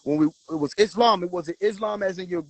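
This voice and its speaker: A man hosting a livestream, talking forcefully and preaching directly at his viewers. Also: sits high, a little fast, dry recording. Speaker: livestream host. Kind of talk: casual livestream talk.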